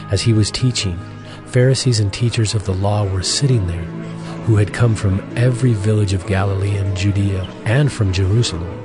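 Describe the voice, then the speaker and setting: Low-voiced male scripture narrator. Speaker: Bible narrator. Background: music.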